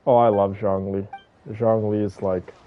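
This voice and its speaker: A man's voice: fairly low pitch, little pitch movement, unhurried, narrow, tinny audio, a little hiss or hum. A man giving casual gameplay commentary, thinking aloud and talking to his viewers. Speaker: game streamer playing and commenting.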